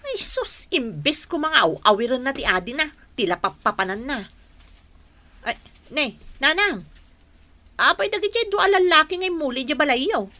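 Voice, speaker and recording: A nagging mother in an Ilocano radio drama. Her voice is very sharp and forceful and sits fairly high, full of suspicious scolding. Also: lively intonation, moderate pace. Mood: exasperated. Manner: nagging, exclamatory.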